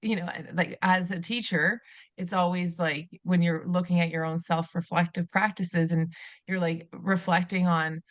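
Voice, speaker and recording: Low-voiced earnest middle-aged woman. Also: steady tone, normal speed, no room sound. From Canada. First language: German. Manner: earnest, reflective, many restarts and fillers. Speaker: podcast guest, retired teacher. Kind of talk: conversational interview.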